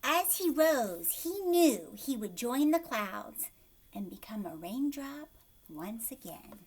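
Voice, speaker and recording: A woman teacher reading aloud to young children, expressive, putting questions to the listener and often dropping into a hushed voice. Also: a little high, husky. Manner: expressive, asks questions and pauses as if answered.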